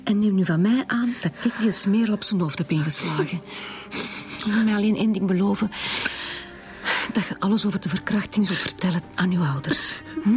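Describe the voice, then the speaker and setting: An actress playing a bitter, protective schoolteacher of forty-three in a Flemish radio drama, speaking with halting emotion. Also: female voice, mid-range voice, a little mellow, natural voice. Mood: gentle, urgent. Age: forties. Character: bitter, protective 43-year-old schoolteacher confessing to killing. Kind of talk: naturalistic radio drama acting.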